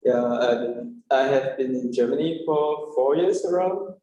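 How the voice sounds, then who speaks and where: A young man from Malaysia, a student guest on a talk show, speaking shyly and haltingly with many fillers. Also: mid-range voice, mellow, dull audio, slight background noise. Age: young adult. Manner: shy, halting, many yeahs and I means. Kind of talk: casual talk show chat. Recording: echoey room.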